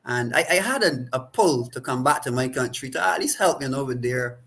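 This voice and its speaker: A man in his forties with a Barbadian accent, a counselor answering interview questions in a reflective, halting way. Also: medium pitch, expressive, creaky, a bit bright. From Saint Vincent and the Grenadines. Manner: reflective, halting with repeated restarts. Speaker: counselor and guest.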